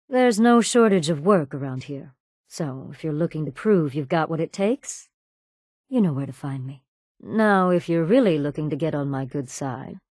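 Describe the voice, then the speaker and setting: An actress voicing a ruthless, menacing raider gang leader in a video game, cold, commanding and sardonic. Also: female voice, sits low, a little mellow, no echo, studio quality. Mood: cold, warning. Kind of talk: video game character dialogue.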